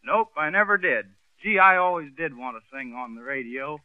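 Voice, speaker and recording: A man's voice: fairly high pitch, expressive, moderate pace, dry recording, lightly acted. A man playing bashful, eager country boy. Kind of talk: 1930s radio comedy acting. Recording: tinny old radio broadcast with music.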